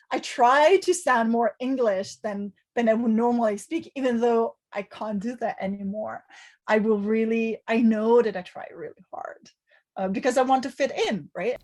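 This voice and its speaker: A woman's voice: a little high, measured pace, darkish tone. A woman from Italy, a native Italian speaker, who answers interview questions thoughtfully, with restarts and fillers. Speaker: university lecturer interviewee.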